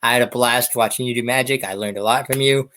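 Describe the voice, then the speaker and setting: A man's voice: medium pitch, fairly even intonation, fast talker, pushed and bright. A man hosting a podcast interview in a friendly, conversational style, putting questions to a guest.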